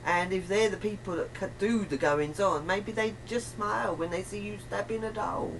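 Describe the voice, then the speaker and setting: A woman's voice: mid-range voice, normal speed. A woman chatting casually in a rambling, emphatic, colloquial way, often acting out bits of reported dialogue. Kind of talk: casual chat. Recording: distant mic, faint room noise.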